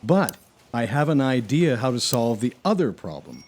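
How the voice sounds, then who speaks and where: Man as an earnest, animated space hero. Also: medium pitch, normal speed, a little hiss or hum, close to an everyday voice. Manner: polite, earnest, explanatory. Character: earnest, mild-mannered male space hero who knits. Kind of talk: comic sci-fi audio drama acting.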